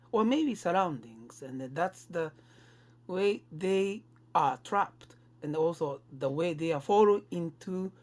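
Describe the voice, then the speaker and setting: A co-host speaks reflectively at an unhurried pace. The phrasing is halting and searching, in a mid-pitched voice. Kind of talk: reflective discussion.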